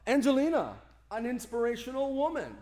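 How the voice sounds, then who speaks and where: Male radio show host: high-voiced, animated, grandiose and playful. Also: brisk. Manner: grandiose, playful, formal introductions. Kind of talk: theatrical showman hosting.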